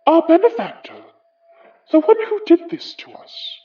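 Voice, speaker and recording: A haughty Edwardian occultist ghost speaks in a high, sweeping British voice. The delivery is slow, formal and theatrical, full of bitterness, as in a horror audio drama. The sound is a little distant and muffled. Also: female voice, sing-song, soft background music, heavily acted. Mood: bitter, guarded.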